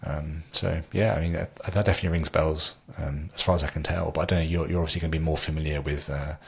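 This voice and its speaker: A man's voice: very deep voice, fairly even intonation, fast, gravelly, husky. A man in his thirties hosting a podcast interview, asking long questions in a hesitant way full of fillers, over a video call. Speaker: podcast host. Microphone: studio mic, earbuds, video call.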